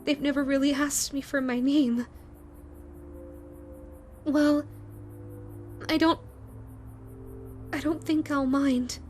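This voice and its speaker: A woman voicing a shy, flustered female ghost in a romantic audio roleplay, stammering and halting, tender and full of self-doubt. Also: sits high, flat delivery, darkish tone, faint music behind. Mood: surprised, hesitant. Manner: stammering, hesitant, halting with repeated words.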